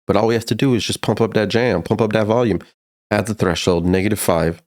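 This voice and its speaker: A man's voice: lowish voice, fairly even intonation, brisk, a little mellow. A man giving a casual, slangy music production tutorial in a laid-back but enthusiastic way.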